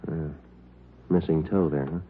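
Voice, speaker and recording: An actor playing a clipped, matter-of-fact American police detective in an old radio drama, speaking tersely and deadpan. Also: male voice, extremely low pitch, unhurried, slight background noise. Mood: terse, businesslike. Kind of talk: 1940s-50s radio police drama acting. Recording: muffled old-time radio, loud music.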